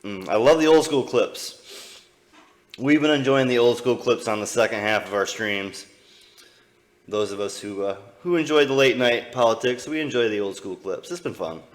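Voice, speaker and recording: A man's voice: mid-range voice, lively intonation, moderate pace. A young man from the American Midwest with a Midwestern accent, rambling loosely and swearing as he comments on old clips during a live stream.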